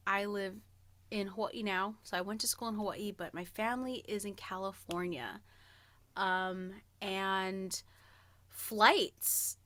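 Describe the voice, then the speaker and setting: A woman in her thirties with a bright, forward voice, chatting casually as a podcast co-host. She takes her time over the story and is playful and self-deprecating, in a dry, close-sounding recording. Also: medium pitch, no room sound. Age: early 30s.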